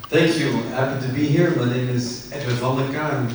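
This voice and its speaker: A man's voice: medium pitch, fairly even intonation, fairly quick. A middle-aged man from the Netherlands, introducing himself as a conference panelist. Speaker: panelist, manufacturing director. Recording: distant echoey hall microphone, faint noise.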